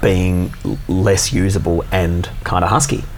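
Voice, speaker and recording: Low-voiced man in casual chat. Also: background noise. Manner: relaxed, halting, recounts at length with fillers.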